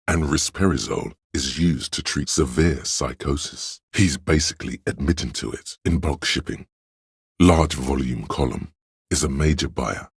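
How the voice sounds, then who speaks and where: Very deep, gravelly know-it-all inner voice. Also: male voice, no room sound, clean studio sound, heavily acted. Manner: measured, erudite, matter-of-fact, pedantic. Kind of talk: scripted game narration of trivia and facts.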